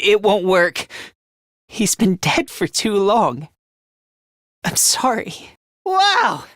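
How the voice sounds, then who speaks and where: A timid, self-loathing young male fighter from an anime-style game. The voice sits very high and swings widely in pitch, yet takes its time between stammers. He runs himself down and is always close to panic. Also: pushed and bright, dry recording, heavily acted. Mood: panicked, then nervous, eager. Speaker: video game voice actor.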